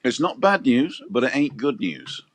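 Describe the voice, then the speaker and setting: A man's voice: mid-range voice, sing-song, normal speed. A man co-hosting a casual livestream, chatting and joking as he reads out viewer comments. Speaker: livestream co-host. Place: balcony, outdoors.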